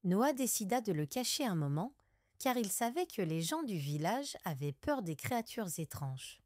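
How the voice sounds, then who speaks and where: A woman's voice: medium pitch, very animated, moderate pace, darkish tone, no room sound, studio quality. A woman reading a scripted children's story as a storyteller.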